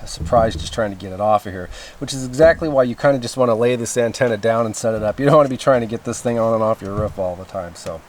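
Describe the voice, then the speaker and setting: A man's voice: lowish voice, fast, few pauses, a little hiss or hum. A man giving a casual, rambling hands-on demonstration as he explains gear, in an informal manner. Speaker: presenter of CB radio antenna tests.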